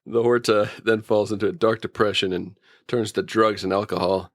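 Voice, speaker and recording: A man's voice: fairly low pitch, steady tone, moderate pace, dry recording, clean studio sound. A man co-hosting a podcast, chatting casually and jokingly as in a review show. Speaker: podcast co-host. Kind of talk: casual comedic review chat.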